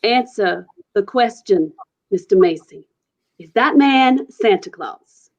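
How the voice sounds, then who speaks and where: A woman's voice: mid-range voice, expressive, takes it slowly, darkish tone, dull audio. A woman playing an authoritative but easily flustered judge in a 1940s-style radio play.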